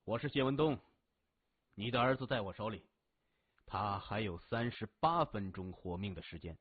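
A man acts out a young male crime boss for an audiobook, his voice low and hushed. He speaks in a measured, menacing way with a quiet sneer, through thin phone-like audio. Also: expressive, breathy edge, dry recording. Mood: cold, threatening. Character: cold, mocking young male gang boss.

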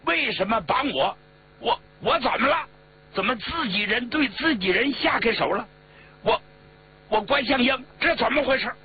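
Man acting a shocked, high-pitched protesting officer. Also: lively intonation, moderate pace. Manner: loud, bewildered, stammering. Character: startled, protesting male officer under arrest. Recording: muffled radio-like recording with mains hum.

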